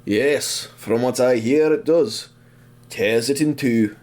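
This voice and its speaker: A man voicing a big, kindly old tank engine with a Scottish accent, blunt and deliberate, in an audio drama. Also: mid-range voice, a little mellow, natural voice. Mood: thoughtful, firm. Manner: forward, deliberate, good-natured.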